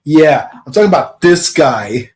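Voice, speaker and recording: A man co-hosting a podcast, chatting casually and joking as he rambles and thinks out loud. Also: a little high, lively intonation, unhurried, soft background music. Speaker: podcast co-host. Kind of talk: casual chat about a TV series.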